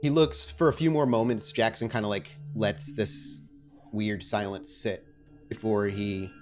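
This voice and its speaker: A man working as game master, narrating a tabletop game in halting, deliberate phrases. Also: on the low side, steady tone, music in the background.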